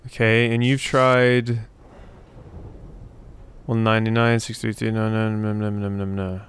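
A man's voice: lowish voice, flat delivery, a little slow, a little hiss or hum. A man doing casual let's play commentary, thinking aloud and reading out notes as he goes. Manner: thinks aloud, talking to himself and the game. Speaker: gaming video host.

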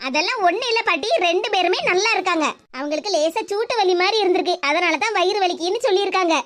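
A woman's voice: very high-pitched, fast talker, fairly bright tone. A woman voicing a patient woman doctor reassuring a worried grandmother. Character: calm woman hospital doctor.

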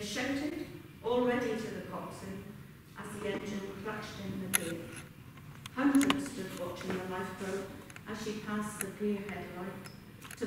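An older woman reciting a narrative poem at a remembrance service, earnest, in a measured storytelling cadence. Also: medium pitch. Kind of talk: poetry recitation. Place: large indoor hall with a congregation. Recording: very echoey hall, distant mic, background noise.

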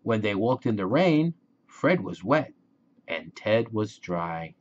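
A man reading a children's storybook aloud in a deliberate, expressive way for young listeners. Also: fairly low pitch, lo-fi sound, slight background noise. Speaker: children's book reader.

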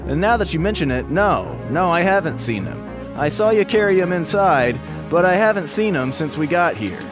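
A concerned storybook pal, played by a man talks in a high voice. He is thoughtful and a bit fretful, with music clearly heard behind him. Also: very animated, moderate pace.

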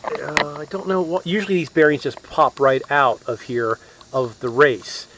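A man's voice: on the high side, fairly even intonation, moderate pace, noisy background. A man narrating a casual do-it-yourself repair outdoors, thinking aloud with fillers and restarts, recorded on a head-mounted camera. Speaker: DIY mechanic. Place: outdoors in a yard. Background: crickets and insects.

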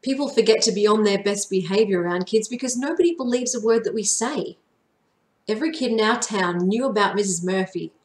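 A woman's voice: mid-range voice, a little fast. Echoey woman reading a children's story. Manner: animated, acts out the dialogue. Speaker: primary teacher reading aloud. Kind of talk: expressive children's storybook narration. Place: small furnished room at home. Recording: echoey home room.

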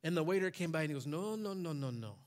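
A man in his forties, a pastor, preaching from a church stage into a headset mic. His voice sits a little high for a man, and it climbs higher and louder whenever he quotes a command. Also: lively intonation, fast. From Michigan, USA. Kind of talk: sermon.

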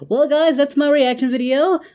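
A woman's voice: sits high, steady tone, moderate pace, warm tone. A woman hosting a reaction video in casual commentary, exclaiming and often repeating her phrases. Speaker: host of a reaction channel.

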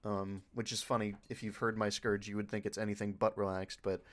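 A man's voice: fairly low pitch, flat delivery, fairly quick, fairly bright tone. A man who voice acts, chatting casually on a fan dub panel. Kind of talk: casual online panel chat.

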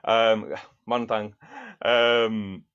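A man in his thirties reads his poems slowly and earnestly, speaking in a fairly low voice. He talks from a book-lined home study into a webcam. The video-call audio is dull and lo-fi. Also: little pitch movement, fairly bright tone, smooth. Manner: earnest, thoughtful, reads verse slowly and deliberately. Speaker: poet. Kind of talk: poetry reading with spoken introductions.

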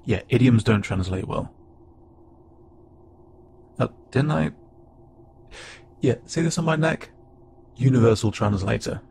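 A man voicing a friendly, curious, slightly awkward alien spacefarer in a conversational audio roleplay, speaking haltingly and wryly. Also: medium pitch, animated, creaky, noisy background. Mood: puzzled. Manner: casual, halting, gently wry. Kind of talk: conversational audio roleplay acting to an unheard listener.

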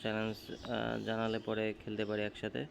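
A man's voice: fairly low pitch, completely flat delivery, fairly quick, creaky, lo-fi sound. A man giving casual, hesitant, unscripted commentary while playing a game. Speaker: gamer. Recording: faint background noise.

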